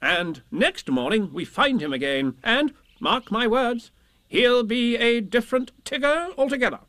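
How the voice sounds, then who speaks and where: A man's voice: very high-pitched, lively intonation, unhurried, sharp tone, no room sound, pitched above the performer's own voice, full character voice. A man voicing a bossy, self-assured, scheming rabbit from a children's storybook, speaking in an emphatic, officious way. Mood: confident, persuasive. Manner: emphatic, officious, wordy explaining. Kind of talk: children's storybook character reading.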